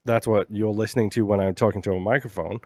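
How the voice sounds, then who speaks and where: A man with a low voice, recorded close and dry with no room sound. He talks in a flat, monotone way while co-hosting a casual game podcast, unloading his complaints at length. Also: quick pace, no room sound. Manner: monotone, frustrated venting. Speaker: podcast co-host. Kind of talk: casual chat.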